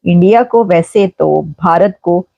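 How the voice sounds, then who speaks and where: A woman presenting explanatory news commentary in a deliberate way, repeating phrases for emphasis. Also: lowish voice, vocal fry, warm tone. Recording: dull, roomy recording.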